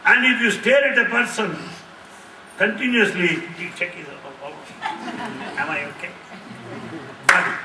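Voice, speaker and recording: A middle-aged man answering audience questions in a lively talk, repeating key phrases and laughing often. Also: high-pitched, slow, pauses often. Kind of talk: lively lecture with jokes. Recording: echoey hall, distant mic, faint hiss.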